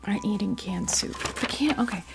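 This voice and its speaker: An American woman speaking in a soft, breathy near-whisper, chatting casually and hesitantly in an ASMR style close to a sensitive microphone. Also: mid-range voice, animated, moderate pace, music in the background. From United States. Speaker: ASMR video host. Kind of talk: ASMR, casual unboxing chat.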